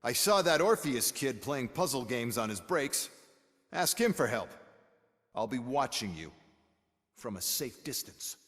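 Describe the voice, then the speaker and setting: An actor playing an arrogant, impatient corporate executive in a video game, curt, sarcastic and condescending. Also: male voice, mid-range voice, sing-song, measured pace, a bit bright. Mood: sardonic. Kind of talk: video game cutscene acting.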